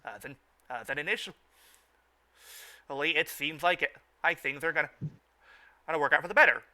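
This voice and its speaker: Very bright-voiced man, halting and informal. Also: fairly high pitch. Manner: halting, repeats and restarts phrases. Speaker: review show host. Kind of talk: informal fan commentary and recap.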